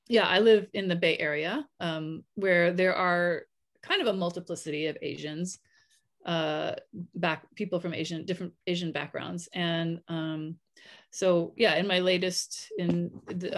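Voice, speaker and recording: A woman in her forties speaking on a video call as a panelist author, thoughtful and halting with many fillers. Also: lowish voice. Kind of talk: casual panel discussion. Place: home room, video call. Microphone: webcam on video call.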